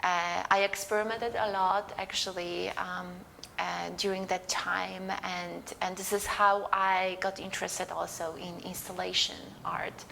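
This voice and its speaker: A woman's voice: fairly low pitch, level tone, unhurried, creaky, sharp tone, slight background noise, rough recording. A middle-aged woman from Poland, answering interview questions thoughtfully and searching for words. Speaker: guest artist. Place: museum gallery.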